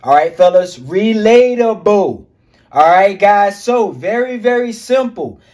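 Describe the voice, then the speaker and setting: A man coaching other men on social skills in a casual, energetic monologue, full of slang and swearing, calling viewers G and fellas. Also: high voice, unhurried. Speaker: self-improvement coach.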